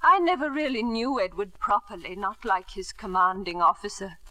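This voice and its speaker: An actress playing a bitter, grieving wife and mother, sardonic and deliberate, in old radio drama style. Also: mid-range voice, bright, forceful tone, lightly acted, rough recording. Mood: bitter mocking. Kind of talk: 1940s-50s radio drama acting.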